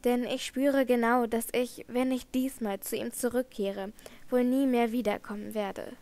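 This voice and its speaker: A woman's voice: on the high side, steady tone, unhurried, darkish tone. A woman reading a storybook, voicing a frightened young female singer who speaks in anguished, trembling tones.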